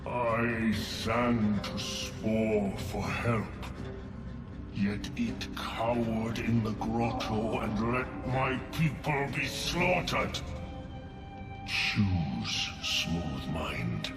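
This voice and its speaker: An ancient fungal sovereign for a fantasy game, solemn and vengeful. The delivery is ceremonial and drawn out to an extreme, in a low register. The voice echoes in a roomy space under loud music. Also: male voice, expressive, full character voice. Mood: bitter, grave. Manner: slow, ceremonial, incantatory phrasing. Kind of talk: cinematic fantasy video game acting.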